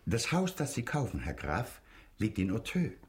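An actor playing a deferential, formal notary in a German radio drama, obliging and matter-of-fact. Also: male voice, medium pitch, huge pitch swings, normal speed, a little mellow. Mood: obliging, informative.

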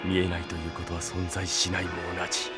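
An actor playing a cold, arrogant young villain in a Japanese anime audio drama, theatrical and condescending. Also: male voice, sits low, completely flat delivery, fairly quick, natural voice. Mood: smug. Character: cold, arrogant young male villain serving an evil master. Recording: dramatic music behind the voice.

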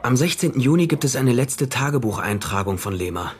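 An actor playing a dogged male private detective in a 1930s crime radio drama, explaining things in a deliberate, matter-of-fact way. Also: mid-range voice, animated, natural voice. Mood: certain, explaining. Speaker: detective and first-person narrator. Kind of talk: German radio crime drama acting and narration. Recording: studio radio drama with music underneath.

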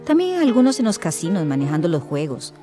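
A woman's voice: on the low side, big pitch swings, quick pace. A woman playing a middle-aged domestic worker in a radio drama, sly and grumbling, with a coaxing, confiding manner. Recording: music behind the voice.

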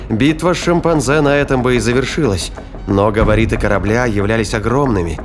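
A man narrating a science fiction thriller as scripted, dramatic audio fiction. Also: lowish voice.

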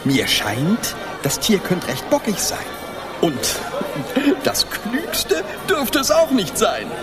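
Fawning sly astrologer man in a radio drama, slow, voice all over the place, oily. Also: high-pitched, wildly swooping pitch, heavily acted. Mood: smug, soothing. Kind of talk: German radio play acting. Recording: loud music and crowd behind.

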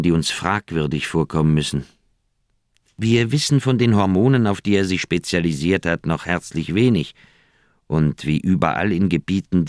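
An actor playing a conscience-stricken old doctor in a German radio crime drama, speaking in an earnest, heavy, troubled manner. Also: male voice, low-pitched, dry recording, clean studio sound, natural voice. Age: older.

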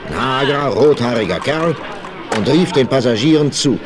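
A man's voice: medium pitch, lively intonation, normal speed, over a noisy crowd. A man narrating a 1960s radio play as a dramatic, theatrical, suspenseful storyteller. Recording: vinyl record transfer with faint noise.

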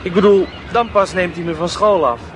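A man's voice: high-pitched, normal speed, noisy background, natural voice. A man playing a coarse, cocky teenage village schoolboy in a radio drama, blunt and offhand in his talk. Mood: sullen, boastful.

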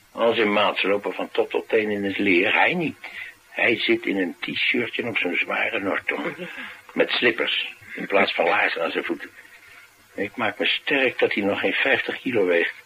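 Streetwise bodyguard, very low bright forceful voice, cynical, coolly menacing, colloquial radio drama. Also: male voice, a little hiss or hum, rough recording. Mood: casual, musing. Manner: colloquial, matter-of-fact tough talk. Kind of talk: naturalistic radio drama dialogue.

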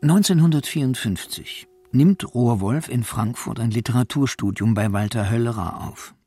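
A man's voice: mid-range voice, moderate pace, mellow, slightly rough, no echo. A man narrating a radio documentary from a script.

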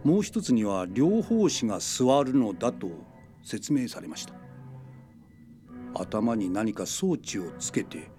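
A man's voice: medium pitch, very animated, slow pace, warm tone, faint music behind. An actor playing an earnest, easily astonished middle-aged father in a radio drama. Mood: earnest recounting. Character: excitable, bewildered middle-aged father telling what he witnessed.

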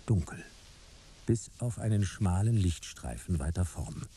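An actor playing a hard-boiled private detective who narrates a sci-fi radio play in terse, wry, dramatic fragments. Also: male voice, sits low, lively intonation, unhurried, warm tone, a little hiss or hum. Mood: wry, matter-of-fact.

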